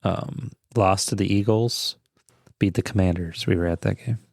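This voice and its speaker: A man with a fairly low voice co-hosts a casual sports podcast. He talks conversationally in short, loose phrases, and the sound is close and dry. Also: steady tone, normal speed, creaky, darkish tone, no room sound. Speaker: podcast co-host.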